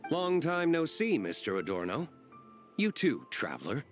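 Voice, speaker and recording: A man's voice: fairly high pitch, wildly swooping pitch, measured pace. An actor playing an aloof, composed young nobleman in a video game, reserved and formal in his delivery. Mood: cool, composed. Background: game music.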